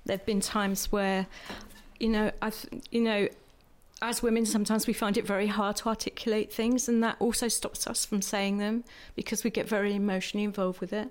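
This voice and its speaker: Woman, church panel guest, earnest and measured, mid-range voice. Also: mid-range voice, little pitch movement. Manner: earnest, measured, with many pauses and fillers. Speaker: church speaker who prophesies.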